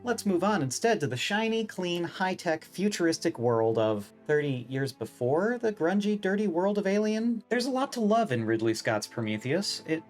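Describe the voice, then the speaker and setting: A man's voice: sits high, very animated, moderate pace, few pauses, soft background music. A man narrating a scripted video essay in a wry, opinionated, emphatic way.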